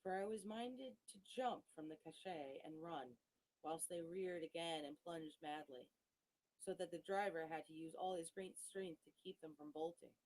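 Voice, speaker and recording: Low, husky woman narrating a gothic tale. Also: big pitch swings, moderate pace, a little mellow, low-quality recording. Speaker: audiobook reader. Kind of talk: dramatic scripted narration of a gothic novel.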